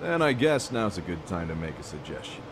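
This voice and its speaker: A man's voice: low-pitched, sing-song, brisk, noisy background. A man playing a cocky, teasing streetwise character in a video game dub, with a slangy, swaggering delivery. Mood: sly, persuasive.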